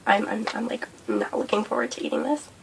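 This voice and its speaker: A young woman vlogging a breakfast mukbang, hoarse and losing her voice from a cold, rambling with lots of fillers. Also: a little high, slight background noise. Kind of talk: casual mukbang vlog chat. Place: indoors at home under a hot light.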